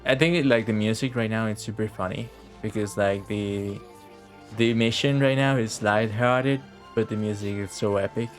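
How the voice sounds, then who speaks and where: Male game streamer, lowish voice, casual and rambling, reacting aloud with game music underneath. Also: fairly even intonation, normal speed. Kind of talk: casual live game commentary.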